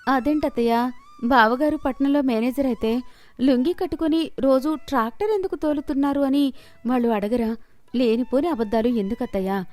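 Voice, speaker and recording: A woman as a high-voiced, candid young wife. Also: moderate pace, a little mellow, soft background music, natural voice. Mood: puzzled. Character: honest, innocent young daughter-in-law, gently questioning.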